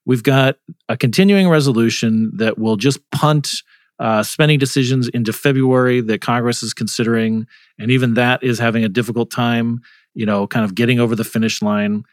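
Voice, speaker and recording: A man's voice: medium pitch, fairly even intonation, a little fast, no echo. A man hosting a conversational political news discussion, asking questions in a rambling, self-interrupting way. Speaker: host.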